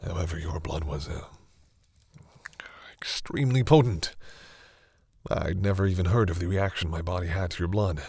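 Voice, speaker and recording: Male actor as ancient vampire, low husky voice, hesitant and courteous, formal phrasing, intimate audio roleplay, very dry close sound. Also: normal speed, pauses often, a little mellow, no room sound, exaggerated voice. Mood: awed, hesitant. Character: centuries-old male vampire, courteous, hesitant, commanding with servants.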